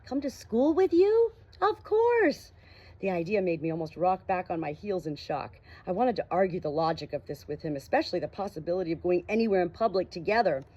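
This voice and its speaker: Woman narrating a novel, slightly low voice. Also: fast, hardly any pauses, a little mellow. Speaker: audiobook narrator. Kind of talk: first-person fiction audiobook narration with acted dialogue. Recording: dull, muffled lo-fi audio.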